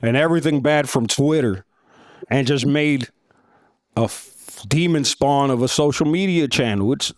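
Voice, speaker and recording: Loud, deep-voiced blunt man. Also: normal speed, dry recording, studio quality. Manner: blunt and frank, swears casually. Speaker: comedy club co-owner, guest. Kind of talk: casual interview talk.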